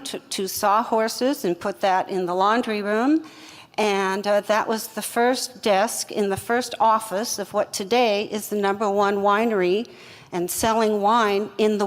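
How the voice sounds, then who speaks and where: An older woman, a company co-founder, telling her story in an earnest, reflective and measured way. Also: mid-range voice. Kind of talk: fireside chat interview answers. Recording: long hall reverb.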